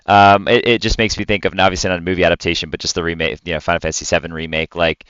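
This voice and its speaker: A man's voice: deep voice, level tone, very fast, a bit bright, clear, resonant tone, no echo, lo-fi sound. A man chatting casually as a podcast co-host. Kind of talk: casual chat.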